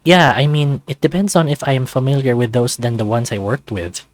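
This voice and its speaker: A man's voice: medium pitch, brisk, no echo, faint music behind, close to an everyday voice. A man voicing a blunt, laid-back young male newcomer in a visual novel. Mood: self-assured.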